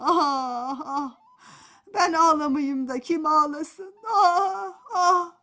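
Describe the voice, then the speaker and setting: Woman as a wailing, high-voiced old horse. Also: extremely slow, pushed and bright, full character voice. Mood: tearful lament. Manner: sighing and wailing. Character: weary, heartbroken old horse, tearful and self-pitying. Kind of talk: children's folk tale storytelling.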